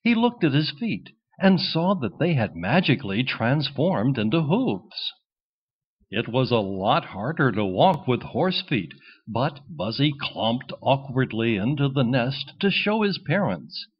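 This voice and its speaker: A man reading a children's storybook as narrator in an expressive, scripted style. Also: mid-range voice, a little slow, slightly rough, muffled recording.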